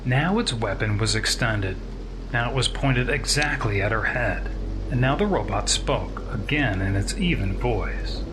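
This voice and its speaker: A man's voice: on the low side, lively intonation, a little slow, background noise. A man reading a scripted science fiction story as a dramatic narrator.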